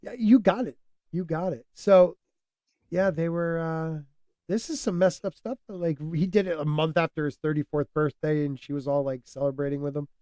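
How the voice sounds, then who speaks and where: A man hosting a casual podcast, stuffed up and losing his voice, talking in an animated, opinionated way and laughing often. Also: a little high, moderate pace, dry recording. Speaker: podcast host.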